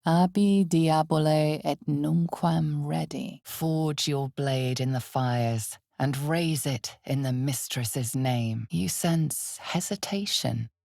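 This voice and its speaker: A woman playing an ominous, knowing fantasy game narrator, with measured, theatrical delivery and a breathy, husky voice that often drops toward a whisper. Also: sits low, a little mellow, no room sound, studio quality, exaggerated voice. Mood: solemn, knowing. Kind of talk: dramatic second-person fantasy narration.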